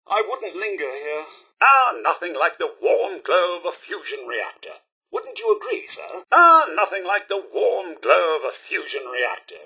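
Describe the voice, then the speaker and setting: An actor playing a fussy, polite British robot butler companion in a video game, with a formal, theatrical, deferential manner. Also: male voice, fairly high pitch, sharp tone, husky, full character voice. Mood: polite, admiring.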